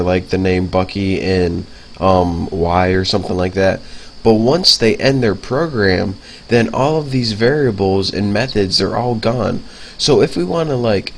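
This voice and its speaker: A man's voice: on the low side, a little hiss or hum. A young man with a stuffy nose from a cold, casually talking through a programming lesson as he types, with lots of fillers. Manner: casual, filler-heavy, talks while typing. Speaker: programming tutor. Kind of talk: casual screencast coding lesson.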